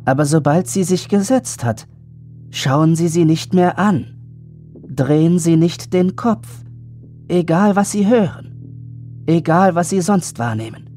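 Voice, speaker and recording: A woman playing an eerie, self-assured young boy in a horror audio drama, measured and matter-of-fact. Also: on the low side, lively intonation, dark tone, soft background music. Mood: grave, instructive.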